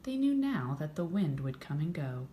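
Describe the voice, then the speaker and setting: A woman reading a children's story aloud with expressive, dramatic narration and drawn-out emphasis. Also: low voice. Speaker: storybook reader. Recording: echoey room, faint background noise.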